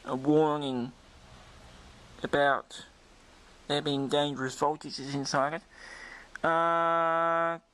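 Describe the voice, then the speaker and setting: A man's voice: a little high, little pitch movement, drawn-out words, pauses often, a bit bright, clear, resonant tone, low-quality recording. Australian man, hesitant and detail-focused. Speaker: vintage radio hobbyist, video maker. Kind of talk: casual unscripted show-and-tell demonstration. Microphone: handheld camera or phone microphone.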